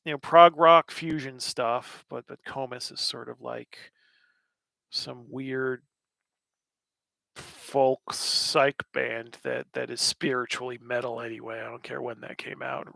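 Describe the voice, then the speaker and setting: A man's voice: medium pitch, fairly even intonation, takes it slowly, vocal fry, sharp tone, dry recording. An American man in his thirties hosting a casual livestream, answering chat questions in a rambling, wry way with lots of fillers. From United States.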